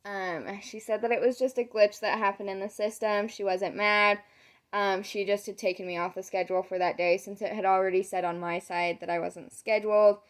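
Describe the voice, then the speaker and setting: Hoarse young woman, sore throat. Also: medium pitch, steady tone, normal speed. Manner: rambling, many "um"s, retells conversations. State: losing her voice, sore throat. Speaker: vlogger. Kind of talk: casual catch-up storytelling to camera.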